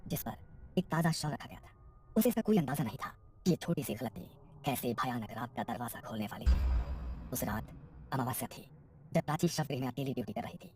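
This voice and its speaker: A woman's voice: extremely low pitch, lively intonation, fairly quick, music in the background. A woman narrating a scripted horror story as its storyteller.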